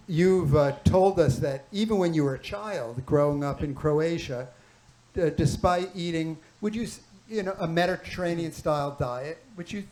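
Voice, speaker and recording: A man hosting a health panel at a clinic event, asking questions haltingly with many fillers and restarts. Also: on the high side, darkish tone, poor audio. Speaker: panel host, clinic doctor. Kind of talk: moderating a live health panel.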